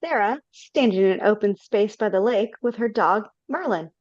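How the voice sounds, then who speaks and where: A woman's voice: medium pitch, expressive, normal speed, a little mellow, no room sound. A woman reading stage directions and the stepmother's lines in a live video-call read-through, in a plain, matter-of-fact way. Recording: dull, dry video-call audio.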